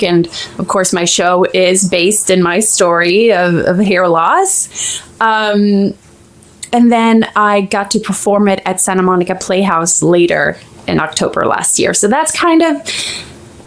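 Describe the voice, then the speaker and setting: A Swedish-accented woman in her forties talks as an interview guest, pitched in the middle of the female range. Her talk is lively and chatty, with easy laughter. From Sweden. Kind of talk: casual interview chat.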